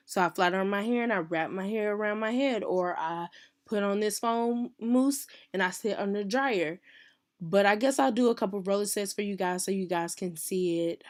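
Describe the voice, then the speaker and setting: A sick woman, her voice hoarse and worn as she talks viewers through a hair tutorial. She is casual and chatty and often stops mid-phrase to correct herself. Her pitch sits in the middle of a woman's range. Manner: chatty, uses "y'all", self-correcting. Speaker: hairstylist teaching a tutorial.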